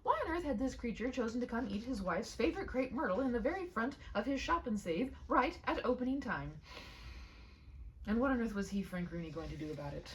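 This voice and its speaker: A woman reading a humorous story aloud with expressive, deliberate, mock-formal narration. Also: fairly high pitch, slight background noise, low-quality recording.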